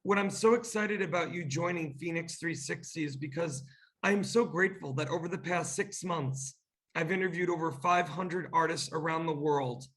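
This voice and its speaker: A man's voice: high voice, fairly bright tone, rough recording. A man hosting an interview, effusive and eager, heaping praise on his guest. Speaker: interview host.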